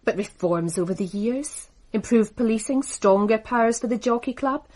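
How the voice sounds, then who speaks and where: A woman's voice: on the high side, normal speed, a little mellow, natural voice. An actress playing a poised woman who runs a racing business, speaking in a composed, dry way in a radio drama. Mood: cool. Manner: composed, dry, crisply assured. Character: poised woman racing executive, cool and cutting.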